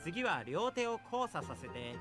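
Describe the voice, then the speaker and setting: A man's voice: sits high, big pitch swings, brisk, sharp tone, heavily acted. A man acting an upbeat, motivating fitness coach with a barbed tongue in a game. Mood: upbeat, instructing. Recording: music behind the voice.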